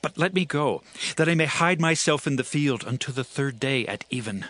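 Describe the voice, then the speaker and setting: A man's voice: mid-range voice, animated, moderate pace, a bit bright, close to an everyday voice. A man playing an anxious young fugitive in a dramatized Bible reading, pleading earnestly and emphatically with his friend in archaic English.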